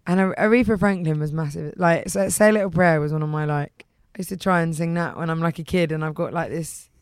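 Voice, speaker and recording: A woman singer with a husky, rough voice, hoarse today, chatting casually in an interview and saying "like" a lot. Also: deep voice, steady tone, dry recording, studio quality. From England. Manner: chatty, repeats "like", quick restarts. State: hoarse from heavy use. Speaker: singer, guest.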